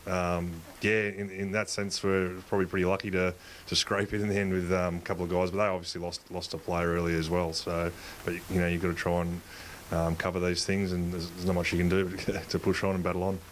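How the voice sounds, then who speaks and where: A man, a football coach answering interview questions in a halting way, with many fillers and restarts. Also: low-pitched, completely flat delivery, a little hiss or hum. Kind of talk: post-match interview.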